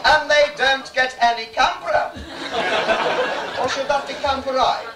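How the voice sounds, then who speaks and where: A performer putting on a grand, bawdy pantomime hostess, addressing a live audience in an arch, drawn-out and saucy way. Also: sits high, fairly even intonation. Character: grand, bawdy theatrical hostess in a cabaret spoof. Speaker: master of ceremonies introducing the cast. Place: theatre bar stage before a live audience. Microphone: distant camera microphone. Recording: echoey noisy bar, distant mic, rowdy crowd.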